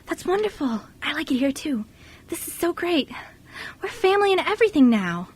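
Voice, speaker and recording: A woman playing a sweet, excitable little girl with a gushing, childlike manner in an amateur radio drama. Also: very high voice, normal speed. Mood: happy. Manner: gushing, childlike, gasping with wonder.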